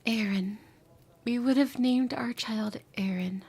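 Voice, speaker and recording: A woman acting a lovesick, obsessive former bully back from the future in an intimate ASMR roleplay, speaking in an emotional, tender, halting way with a breathy voice that often drops to a whisper. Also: a little high, a little mellow, heavily acted. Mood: wistful, hushed.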